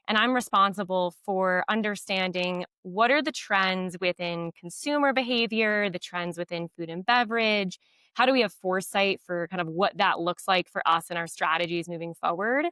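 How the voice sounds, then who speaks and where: Woman with a low, clear, bright voice, explaining on a dry close mic. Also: no echo. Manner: fluent, explanatory, with frequent fillers. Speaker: guest. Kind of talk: podcast interview.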